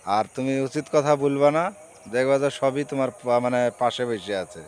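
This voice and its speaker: A man's voice: mid-range voice, moderate pace, a little hiss or hum. A man with a rural Bengali dialect accent talking casually and reflectively to his viewers, in a plain-spoken, repetitive, moralizing way. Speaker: social media vlogger.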